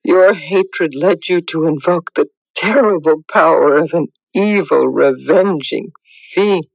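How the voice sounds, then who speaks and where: Slow, tender, anguished mother. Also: deep voice, creaky, dry recording, exaggerated voice. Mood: grieving. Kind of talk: radio drama acting. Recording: dull lo-fi old radio recording.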